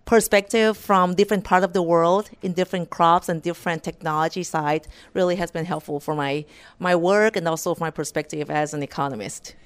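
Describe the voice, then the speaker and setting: A woman's voice: fairly low pitch, steady tone, brisk, clean tone. A woman from Thailand with a Thai accent, answering interview questions as an economist, earnest and restarting her words often. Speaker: corporate economist, guest.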